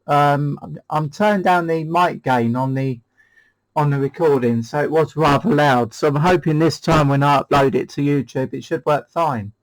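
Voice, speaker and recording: A man's voice: fairly high pitch, moderate pace, poor audio. A British man hosting a CB radio demo, chatting casually in a hesitant, rambling way. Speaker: CB radio hobbyist and channel host.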